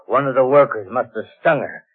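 An actor playing a drunk, aggrieved beekeeper who rambles and repeats himself in a 1940s radio drama. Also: male voice, medium pitch, fairly quick, husky, lightly acted. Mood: tipsy, aggrieved. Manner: slurred, repetitive, rambling drunken talk. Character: tipsy, sacked male beekeeper, rambling and aggrieved. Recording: muffled, band-limited old radio recording.

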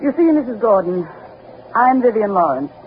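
An actress playing a cool, ruthless spy in an old-time radio drama, speaking in a clipped, commanding, politely menacing way. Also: female voice, medium pitch, big pitch swings, normal speed, lightly acted. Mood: menacing, controlled. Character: cool, ruthless female spy posing as a government agent. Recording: tinny, noisy old radio broadcast.

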